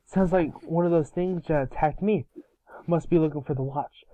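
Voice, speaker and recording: An eager, brash boy hero from a cartoon, read by a man in an amateur table read. The tone is mellow and a little high. The recording is dry, with very little room. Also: normal speed, no room sound, close to an everyday voice, low-quality recording. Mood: earnest, excited.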